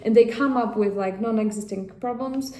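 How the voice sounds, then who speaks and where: A woman from Czechia with a Czech accent, chatting casually to viewers as a vlog host in a rambling, halting way. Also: a little high, dark tone. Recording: echoey room.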